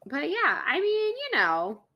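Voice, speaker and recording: A young woman from Florida co-hosting a podcast, chatting casually and fast, with lots of likes and ums. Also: sits high, huge pitch swings, highs cut off. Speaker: podcast co-host. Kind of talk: casual chat.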